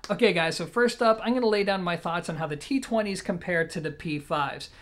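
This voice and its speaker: A man in his thirties with a slightly high-pitched voice reviews gear in a casual style. He speaks straight to his viewers, conversational and plain, like a regular host of his own channel. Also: brisk. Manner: conversational, addresses viewers directly. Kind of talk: casual product review to camera. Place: indoor room at home, seated.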